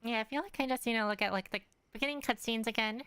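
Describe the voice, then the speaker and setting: Young woman streaming a game, super clear smooth voice, thinking out loud, kinda rambly. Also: on the high side, quick pace, no echo. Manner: rambling, hesitant, lots of fillers. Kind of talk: casual gameplay commentary.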